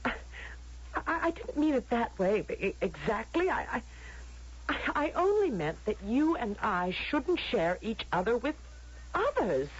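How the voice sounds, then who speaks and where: An actress in an old radio drama playing an unhappy, defiant, lovesick young woman, plaintive and halting. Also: a little high, very animated, breathy edge, exaggerated voice. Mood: lovelorn, pleading. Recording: dull lo-fi vintage radio drama recording.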